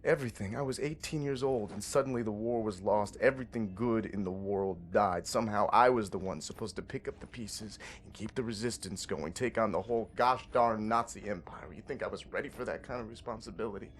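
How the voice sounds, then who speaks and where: An actor playing a guilt-ridden young American resistance soldier in a video game, earnest and bitter, with folksy mild oaths and halting delivery. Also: male voice, lowish voice, slight background noise, natural voice. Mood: anguished, guilty. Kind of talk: dramatic video game acting.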